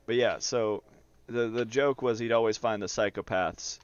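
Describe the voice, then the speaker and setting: A man's voice: on the low side, moderate pace, smooth, dry recording, highs cut off. A man casually telling rambling, profane personal stories as a streamer over gameplay. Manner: rambling, profane, often chuckles while talking.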